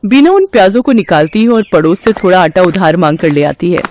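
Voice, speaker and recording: Calm woman storybook narrator. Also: fairly low pitch, a little fast, slight background noise.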